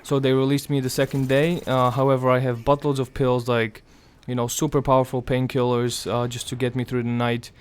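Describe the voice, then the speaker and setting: A young man speaking quietly and weakly in casual gameplay commentary, his voice raspy and strained after throat surgery, pausing often. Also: mid-range voice, monotone. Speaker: gaming commentator.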